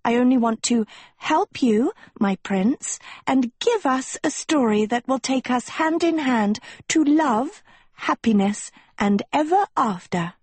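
Woman doing a vain teen girl in a storybook, high voice, indignant and super overwrought. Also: animated, takes it slowly, a little mellow, breathy edge, no room sound, exaggerated voice. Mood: gushing, pleading. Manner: melodramatic, overwrought.

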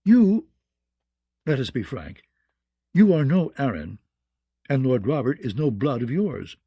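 A man's voice: mid-range voice, big pitch swings, measured pace, slightly rough, dry recording, muffled recording, exaggerated voice. A man voicing a shrewd, frank noblewoman who speaks formally and pointedly in a fantasy audiobook. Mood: frank challenge. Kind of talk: fantasy audiobook character acting.

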